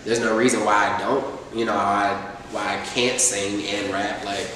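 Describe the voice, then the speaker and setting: Young male rapper, relaxed rambling talker, lowish voice; recorded far from the mic in a reverberant room with faint noise. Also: normal speed. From Atlanta, US. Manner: laid-back, rambling with many fillers. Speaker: rapper guest. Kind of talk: casual interview chat.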